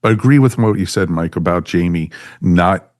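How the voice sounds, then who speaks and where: A man's voice: sits low, normal speed, a little mellow, dry recording, studio quality. A man chatting casually and emphatically as a panelist on a review podcast, often repeating himself.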